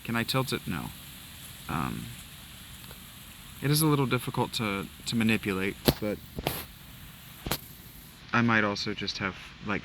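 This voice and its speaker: Rambling, low-pitched man gaming. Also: background noise. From Ohio, USA. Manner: rambling, nostalgic, swears casually. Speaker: let's play gamer. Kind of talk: casual gaming commentary.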